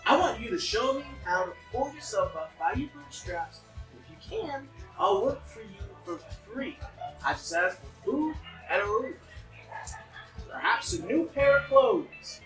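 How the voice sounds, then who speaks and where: A performer playing a raggedy young male worker in a table reading, plain-spoken, earnest and quietly defiant. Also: mid-range voice, big pitch swings, measured pace. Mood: proposing, earnest. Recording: echoey, distant mic, music behind.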